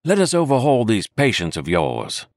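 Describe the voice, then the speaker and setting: A man voicing a brisk, steady middle-aged ship's doctor in a storybook reading. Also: mid-range voice, no room sound, clean studio sound. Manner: brisk, cheerful bedside manner.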